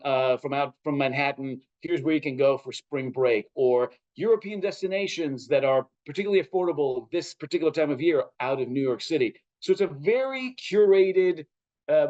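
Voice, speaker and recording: A man's voice: a little high, a little mellow, highs cut off. A man with an American accent giving expansive, articulate answers as an interview guest, in a conversational style. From Chile and Southern California. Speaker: interview guest, travel editor and broadcaster.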